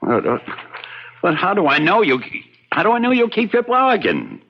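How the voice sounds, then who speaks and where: An actor playing a miserly, roguish scoundrel in a radio drama, blustering and stammering in protest. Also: male voice, high-pitched, huge pitch swings, moderate pace, exaggerated voice. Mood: indignant, grudging. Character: roguish, grudging, miserly scoundrel under threat of hanging. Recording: dull, lo-fi radio drama recording.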